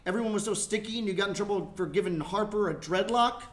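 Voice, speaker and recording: A man's voice: high voice, fast talker, a bit bright. A man playing an earnest, bossy young child in a live comic stage reading, with emphatic, precise declarations. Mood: insistent explaining. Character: young child, earnest, bossy, precocious about Santa's lap. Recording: roomy live stage recording, clear background noise.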